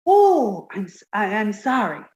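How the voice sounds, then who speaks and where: A woman's voice: medium pitch, big pitch swings, measured pace, a little mellow, roomy sound, dull audio. A woman playing a sweet, naive, scatterbrained young secretary in an old-time radio comedy, earnest and easily distracted. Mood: sleepy, earnest.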